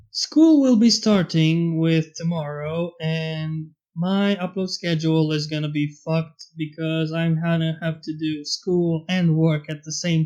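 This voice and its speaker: A man's voice: a little high, a little mellow. A young adult man narrating a fan story as its reader. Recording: dull lo-fi with background music. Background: faint music.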